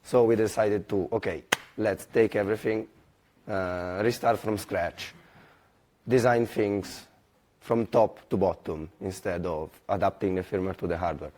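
Hoarse man presenting. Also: low voice, a little slow, a little mellow. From Milan, Italy. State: hoarse, strained voice. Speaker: conference presenter. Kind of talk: technical conference talk.

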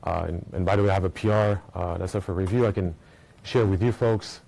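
Male conference presenter from Belgium, deep and warm, casual explainer with plenty of fillers. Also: steady tone, fairly quick, vocal fry, poor audio. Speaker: conference speaker. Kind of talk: technical conference talk. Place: conference room with an audience. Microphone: small recording mic.

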